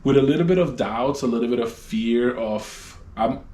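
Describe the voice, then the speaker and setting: A man whose first language is Spanish, talking casually about his music as an interview guest at home, relaxed and laughing often. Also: medium pitch, normal speed. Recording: echoey room with faint noise.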